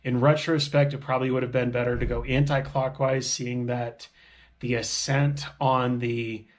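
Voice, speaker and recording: A man's voice: mid-range voice, little pitch movement, normal speed, dry recording. A middle-aged man talking to a camera held at arm's length, giving plain, matter-of-fact commentary on his backcountry ski tour outdoors in the mountains. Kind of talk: casual narration to a handheld camera. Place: outdoors on snowy mountain slopes. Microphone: action camera held at arm's length.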